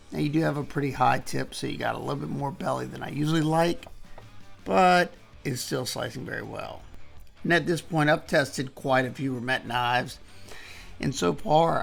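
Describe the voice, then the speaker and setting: Gravelly, hoarse man reviewing knives. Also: medium pitch. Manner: relaxed, folksy, talks while demonstrating cuts. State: hoarse, losing his voice. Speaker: knife reviewer. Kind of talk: casual hands-on product review.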